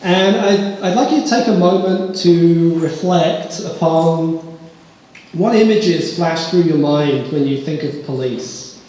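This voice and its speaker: A police officer giving a live pitch talk on stage, joking at his own expense with the audience. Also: takes it slowly, a little mellow. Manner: self-deprecating, joking with the audience. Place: large echoey hall. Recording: echoey hall, roomy reverb.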